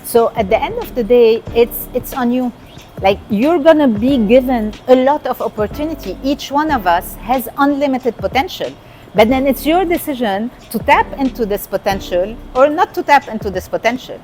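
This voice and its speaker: A woman's voice: fairly high pitch, lively intonation, moderate pace, darkish tone, a little hiss or hum. A middle-aged woman from Lebanon, a guest entrepreneur and coach, speaking earnestly and repeating her key points in a conversational interview.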